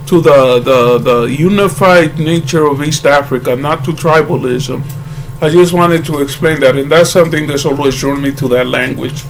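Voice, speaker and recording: A man talking casually to his channel's viewers, rambling with halting restarts and earnest greetings. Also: a little high, a little hiss or hum, rough recording. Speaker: YouTuber.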